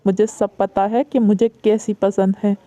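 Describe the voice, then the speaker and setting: A woman's voice: medium pitch, level tone, unhurried, warm tone, no echo, lightly acted. A woman voicing a stern, scornful mother-in-law who scolds and dismisses the family around her. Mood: scolding. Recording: dull lo-fi recording.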